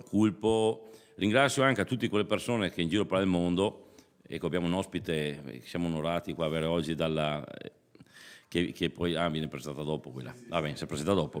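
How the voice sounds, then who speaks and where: A man with a Veneto accent speaking off the cuff as a councillor, halting and correcting himself in plain words. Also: deep voice. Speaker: regional councillor speaking at a press conference. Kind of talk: informal impromptu speech. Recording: reverberant hall.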